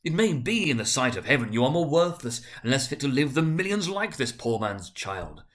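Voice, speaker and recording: A man's voice: mid-range voice, big pitch swings, normal speed, a bit bright, pitched above the performer's own voice. A man voicing a stern ghost in a storybook reading, solemn and scornful as he declaims a rebuke. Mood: indignant.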